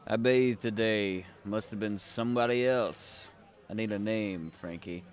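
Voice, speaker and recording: An actor playing a world-weary, hard-boiled private detective, narrating a noir audio drama in a wry, brooding, gravelly voice. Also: male voice, lowish voice, unhurried, natural voice. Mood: defiant, wry. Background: music.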